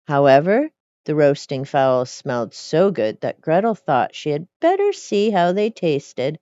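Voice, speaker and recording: A woman's voice: deep voice, very animated, a little slow, mellow, no room sound, muffled recording. A woman reading a storybook aloud expressively, with casual, chatty asides. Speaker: storyteller reading fairy tales.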